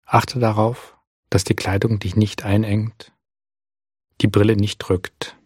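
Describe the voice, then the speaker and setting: A man guides a body scan meditation in a low, husky voice. He gives gentle, calm instructions and leaves long, unhurried pauses between them. The recording is dry and close, with no room sound. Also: no echo.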